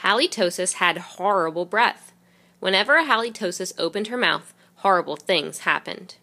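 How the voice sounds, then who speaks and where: A woman reading a children's picture book aloud in an expressive storytelling way. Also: mid-range voice, normal speed. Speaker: reader.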